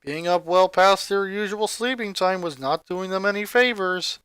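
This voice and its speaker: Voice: mid-range voice, normal speed, a bit bright, smooth, no room sound. A reader giving a scripted narration of a story, putting on voices for the characters. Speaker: fan fiction reader.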